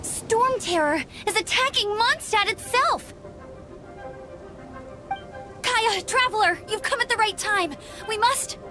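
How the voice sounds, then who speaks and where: A woman's voice: very high-pitched, animated, takes it slowly, pauses often, over music, exaggerated voice. A woman playing a perky, eager young female scout knight in an anime-style video game dub, earnest and friendly. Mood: urgent. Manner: enthusiastic, earnest, friendly guide.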